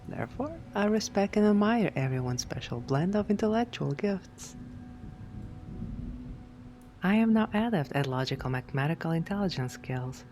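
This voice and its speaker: Low, mellow woman reading affirmations. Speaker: affirmations narrator. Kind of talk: scripted guided affirmations for sleep hypnosis. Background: background music.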